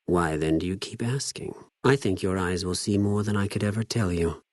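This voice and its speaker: Deep-voiced, coldly menacing legion spy. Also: male voice, no echo, clean studio sound. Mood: cold reverence. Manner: formal, measured, coolly condescending. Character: cold menacing male legion spy, zealous and contemptuous. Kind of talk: scripted video game character dialogue.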